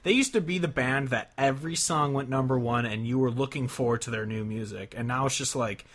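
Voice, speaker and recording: A man's voice: mid-range voice, brisk, a bit bright. A man co-hosting a casual music discussion, talking in a blunt, exasperated way with some swearing. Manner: blunt, profane, exasperated complaining. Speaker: podcast co-host.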